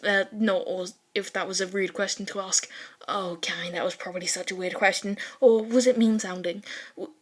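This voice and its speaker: A woman's voice: mid-range voice, lightly acted. Woman doing a nervy polite teen boy, rambly and flustered, eager anime fanfic kid. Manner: flustered, rambling apologies, quizzical. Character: excitable, anxiously polite, rambling teenage boy student.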